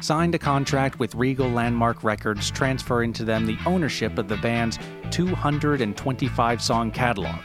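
A man narrates in a formal documentary style with a deadpan delivery. His voice sits at an average male pitch, with plucked guitar music playing clearly behind it. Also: normal speed.

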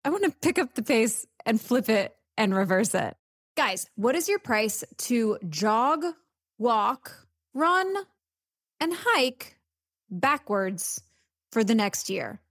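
A woman's voice: on the high side, very animated, slow pace, no echo, clean studio sound. A woman co-hosting a comedy podcast, jokey and emphatic in casual chat. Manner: jokey, emphatic, laughs easily. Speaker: podcast host.

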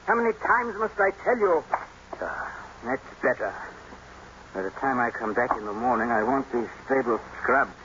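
An actor playing a cruel, jealous young heir in a 1950s radio drama, sneering and imperious. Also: male voice, medium pitch, lively intonation, normal speed, sharp tone, slight background noise. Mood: bullying. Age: young adult. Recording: muffled old radio broadcast, music behind.

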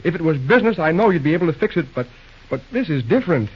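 An actor playing an earnest, self-pitying, hot-headed young railroad superintendent in an old-time radio drama, despairing in folksy slang. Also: male voice, a little high, animated, racing, husky. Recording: muffled old radio show recording.

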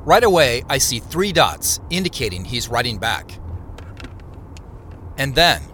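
A man narrating a scripted audio drama in the first person as a reflective investigator, with measured, descriptive storytelling. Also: medium pitch, big pitch swings, bright, forceful tone, a little hiss or hum. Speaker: narrator and lead character.

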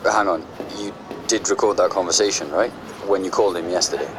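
A man's voice: sits low, fast, over music, natural voice. An actor playing an exacting male colleague in a naturalistic audio drama, asking direct, probing questions. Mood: exasperated. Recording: clear street and vehicle noise behind.

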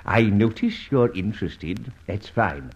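An actor playing a suave, confident gentleman adventurer in an old radio drama, speaking with urbane, teasing politeness and deliberate hesitations. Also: lowish voice, expressive, slightly rough, heavily acted. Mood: courteous, insistent. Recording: dull vintage radio recording.

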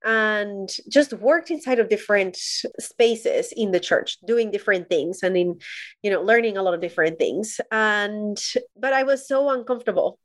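A woman's voice: mid-range voice, moderate pace, no echo. Earnest woman from Colombia, dry sound. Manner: earnest, thoughtful. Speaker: podcast guest. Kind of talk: reflective personal storytelling.

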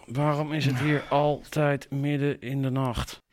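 A man's voice: mid-range voice, little pitch movement, a little slow, natural voice. A man playing a blunt, grumbling adult son in a radio drama. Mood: hungover, miserable.

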